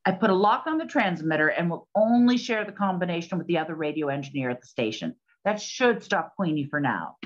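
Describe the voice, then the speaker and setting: A woman playing a plucky, practical 1920s detective and bossy sister in a period drama, with brisk, slangy talk. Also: fairly low pitch, lively intonation, dull audio, natural voice. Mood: matter-of-fact. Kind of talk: scripted period radio drama acting.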